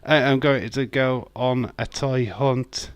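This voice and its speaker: A man with a broad Yorkshire accent chatting casually on a livestream, reading out viewer comments, swearing and filling pauses with lots of "uh". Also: medium pitch, fairly even intonation, clear, resonant tone, dry recording. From Yorkshire, England. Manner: chatty, reads viewer comments aloud, swears, many ums. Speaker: livestream host. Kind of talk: casual live watch-along chat.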